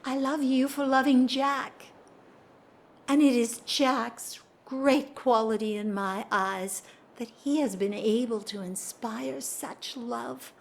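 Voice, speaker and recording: A woman's voice: a little high, steady tone, vocal fry. An actress playing a dignified married society woman in love, speaking formally and earnestly in a period audio drama. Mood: tender, proud. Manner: formal, earnest, theatrical, measured delivery.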